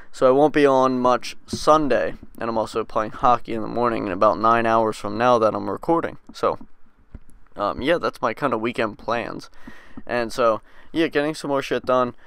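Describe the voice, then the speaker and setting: A man's voice: medium pitch, clean tone, dry recording. A young man with a cold, stuffed up and sniffly, giving laid-back, rambling commentary over his gameplay, with lots of ums and casual swearing. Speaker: gaming channel host.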